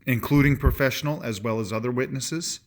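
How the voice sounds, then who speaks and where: A man's voice: mid-range voice, brisk, close to an everyday voice. A man reading the part of a judge, asking brief procedural questions. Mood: formal. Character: presiding male judge, procedural. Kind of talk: dramatic reading of a trial transcript.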